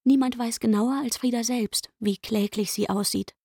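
A woman's voice: a little high, fairly even intonation, normal speed, creaky, darkish tone, husky, no room sound, studio quality. An actress playing an aggrieved young chambermaid in a literary radio play, insistent and indignant as she pours out her complaints.

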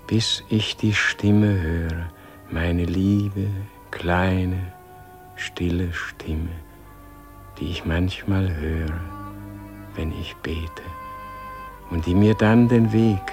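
A man playing a dying, serene Gandhi in a solemn radio play, speaking in a gentle, contemplative, weary manner. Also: low-pitched, full character voice.

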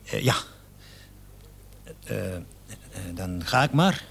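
An actor playing a nervous, pleading murder suspect in a radio play, stammering and deferential. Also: male voice, lowish voice, expressive, slow, vocal fry, fairly bright tone, husky, exaggerated voice. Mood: deferential, uneasy.

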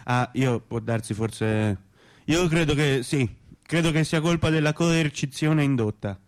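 A man's voice: on the high side, unhurried, close to an everyday voice. An actor playing a nostalgic, slightly pompous provincial man, mixing inflated words with homely talk in a stage drama.

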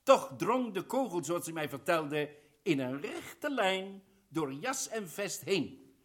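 An actor playing a commanding colonel who lays out an accusation forcefully and deliberately. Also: male voice, high-pitched, sing-song, full character voice. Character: commanding male colonel investigator. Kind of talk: old radio drama acting.

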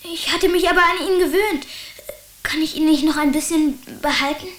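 A young schoolboy in a radio play, with a high voice and colloquial, childlike directness. He can be sulky or eager, and the sound is somewhat roomy. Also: normal speed, fairly bright tone. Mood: dismayed. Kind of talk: German radio play acting.

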